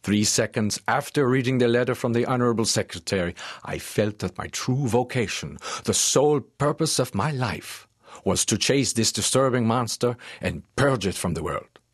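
A man's voice: medium pitch, moderate pace, breathy edge, dry recording, clean studio sound, natural voice. A man playing an eager French professor who narrates his sea adventure in a formal, theatrical audiobook drama style. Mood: measured narration. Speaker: narrator and lead actor.

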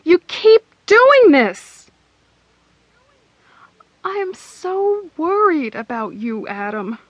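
An actress playing an anxious, exasperated wife in a radio drama, intense and reproachful, with a husky, breathy voice. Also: female voice, very high voice, sing-song, very slow, no echo, dull audio, heavily acted. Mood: distraught. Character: anxious, exasperated wife confronting her wayward husband. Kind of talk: naturalistic radio drama acting.

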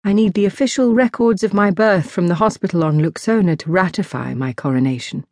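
A gracious teenage princess, acted by a woman narrator, lonely and bound by duty. She explains herself earnestly and politely in an audiobook tale, in a slightly low voice with a dry, close sound. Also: a little fast, darkish tone, no echo. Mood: earnest, explaining.

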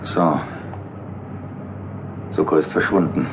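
A man's voice: a bass voice, takes it slowly, heavy background noise, heavily acted. An actor playing a cool, unflappable male police detective in a German audio drama, speaking with dry irony and composure. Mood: calm, wry. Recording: music under the dialogue.

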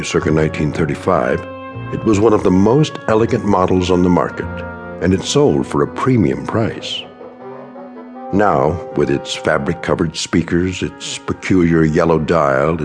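A man's voice: deep voice, full character voice. A man performing as a grave, portentous storyteller narrating an eerie radio anthology. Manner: measured, portentous. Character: grave, ominous male storyteller of eerie tales.

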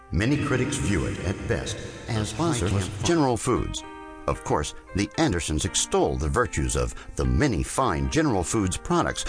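A deep-voiced man reads scripted documentary narration. His delivery swings widely in pitch, with music clearly playing behind him. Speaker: narrator introducing an archive episode.

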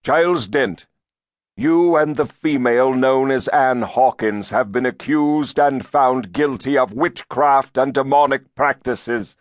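A man voicing a demon in the body of a stern Puritan elder, loudly and pompously denouncing as commander and accuser. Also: mid-range voice, dry recording, clean studio sound, pitched above the performer's own voice, close to an everyday voice. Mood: stern, accusing. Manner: loud, slow, pompous denunciation.

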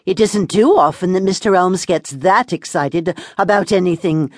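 A woman's voice: on the low side, normal speed, a little mellow, no room sound, studio quality, natural voice. A woman playing a chatty, meddling older British housekeeper, gossipy and insinuating, in a comic radio drama. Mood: gossipy delight. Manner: gossipy, insinuating, tacks "hmm?" onto remarks.

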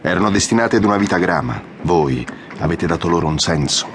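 An actor playing a loyal, idealistic colonel and aide in an audio drama, respectful, earnest and formal. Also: male voice, low-pitched, animated, fast talker. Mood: earnest, pleading. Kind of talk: audiobook radio drama acting. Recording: noisy outdoor ambience behind.

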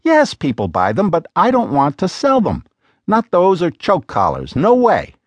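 A man's voice: high-pitched, huge pitch swings, a little slow, no room sound, muffled recording, voice pushed up, exaggerated voice. A bossy, exasperated woman, as a male narrator puts her on, scolds in a raised, sarcastic voice. Her lines swing wildly up and down for emphasis. The dry booth leaves no room sound around her. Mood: insistent. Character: sharp-tongued exasperated woman, bossy business partner.

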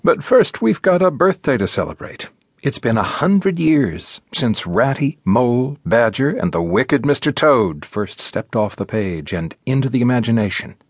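A man's voice: mid-range voice, sing-song, normal speed, slightly rough, no room sound, studio quality. A man with an American accent hosting a radio program, reading scripted narration. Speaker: radio show host. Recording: music bed behind the voice. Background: instrumental music.

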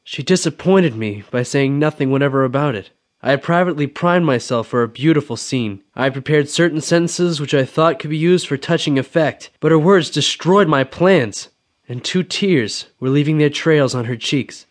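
An actor playing a restless, brooding young Civil War recruit in a radio drama, earnest in manner, with an American accent. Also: male voice, fairly high pitch, moderate pace, natural voice. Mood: reflective. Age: young adult. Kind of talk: radio drama acting and first-person narration.

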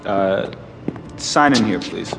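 An actor playing a polite, matter-of-fact check-in attendant at a pageant in an audio drama. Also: male voice, on the low side, slow pace, long reverb tail, music in the background. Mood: polite, routine.

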